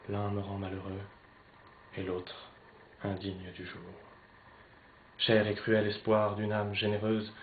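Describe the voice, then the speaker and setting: A man playing an anguished young Spanish nobleman torn between love and honour, declaiming classical French verse theatrically, swinging between hushed and loud. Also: fairly low pitch, close to an everyday voice. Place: poetry club, live recitation. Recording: roomy, slightly dull live recording, noisy.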